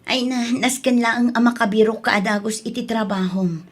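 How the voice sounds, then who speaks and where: A woman's voice: a little high, steady tone, moderate pace, natural voice. An actress with an Ilocano accent playing an anxious, pleading wife and mother in a radio drama. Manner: fretful, pleading. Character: anxious wife and mother fretting over money. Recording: clear background ambient noise.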